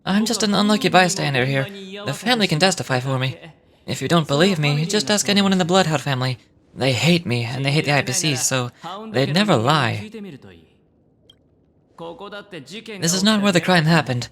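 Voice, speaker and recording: Woman doing a low, nonchalant young schemer man. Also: animated, a crowd in the background. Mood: cool, wry. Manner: unhurried, self-assured, wry.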